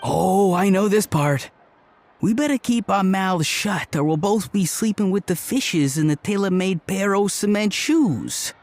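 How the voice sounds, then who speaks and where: An excitable, chatty young man. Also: sits high, unhurried, no room sound. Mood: eager. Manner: chatty, eager, jumping to conclusions. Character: excitable young man, a gangster-film fan. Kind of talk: video game cutscene dialogue.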